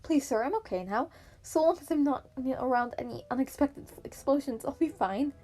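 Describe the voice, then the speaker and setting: A woman's voice: high-pitched, expressive, moderate pace, dark tone, pitched above the performer's own voice. A woman voicing an earnest, clever teenage boy student in a fan story reading, polite and keen to explain himself. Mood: earnest pleading. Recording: piano music behind the voice.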